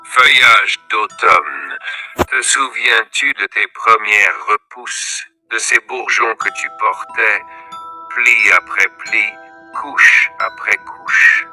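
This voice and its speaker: Bright-voiced man slowly reciting poetry. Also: fairly low pitch, faint music behind, low-quality recording. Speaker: poetry reader.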